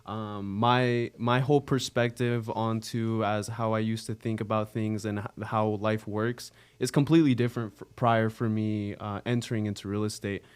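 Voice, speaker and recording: A nineteen-year-old man from Arizona with an American accent, chatting casually as an interview guest in an earnest, halting way. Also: lowish voice, fairly even intonation, clear, resonant tone. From Arizona, United States. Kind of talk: casual chat.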